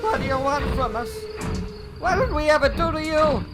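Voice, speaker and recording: An actor voices a high, excitable railway sidekick in an audio drama. The figure is easily scared and speaks in urgent exclamations over clearly audible music. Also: moderate pace. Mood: frightened. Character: excitable, frightened talking companion of a tank engine. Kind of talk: amateur audio drama acting.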